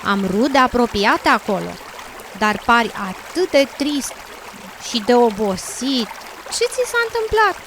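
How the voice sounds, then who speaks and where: A woman's voice: on the high side, sing-song. A woman playing a friendly, talkative blue frog in an educational radio drama, chirpy and sympathetic in manner. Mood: concerned. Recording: noisy, with running water sounds behind.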